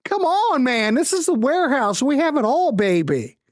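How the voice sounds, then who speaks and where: A woman hosts a casual livestream about records, her voice swinging widely in pitch. She rambles and jokes informally with her viewers, and the sound is dry and close, with little room around it. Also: sing-song, moderate pace, no room sound. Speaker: music show host.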